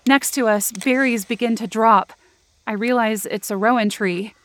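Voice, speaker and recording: A woman's voice: a little high, moderate pace, natural voice. A young woman playing an anxious but determined narrator in a supernatural audio drama, earnest and descriptive. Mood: tense. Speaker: narrator and lead character.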